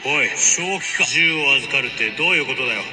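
A man playing a hot-headed, defiant gunman in a Japanese voice drama, rough and confrontational. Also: medium pitch, quick pace, natural voice. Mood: indignant. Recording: long reverb with prominent music.

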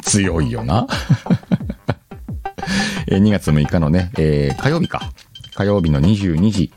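Deep-voiced, animated man hosting chatty radio. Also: takes it slowly, over music. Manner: laughs often, talks to himself, self-deprecating banter. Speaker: host of an audio show. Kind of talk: casual chatty solo radio talk, reading listener letters.

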